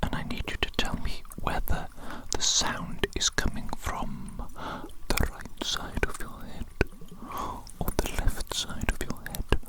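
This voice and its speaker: A woman whispering slowly and deliberately in an ASMR role-play as a doting, eccentric evil doctor, with a breathy, unvoiced voice. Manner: slow, deliberate, repetitive, soothing address to the listener.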